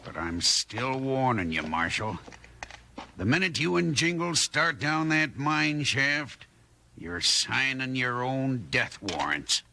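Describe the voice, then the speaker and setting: An actor playing a stubborn, menacing American mine boss in an old radio western. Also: male voice, mid-range voice, lively intonation, slow pace, vocal fry, pushed and bright, exaggerated voice. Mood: grudging, ominous. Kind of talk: 1950s radio western acting. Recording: noisy old 1950s radio recording.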